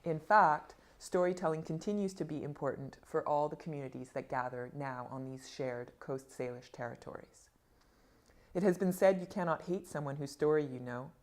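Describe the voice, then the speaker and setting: A woman reading a scripted introduction in a measured, reflective way. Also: sits low, a little mellow. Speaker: narrator. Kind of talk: scripted narration.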